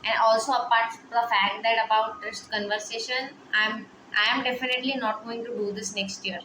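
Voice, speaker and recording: A woman's voice: on the high side, fairly even intonation, fairly quick, slight background noise. A woman in her twenties talking through personal worries in a rambling, hesitant vlog, heavy on fillers. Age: 20s. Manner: quietly upset, reflective. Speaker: vlogger. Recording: echoey room with music playing behind.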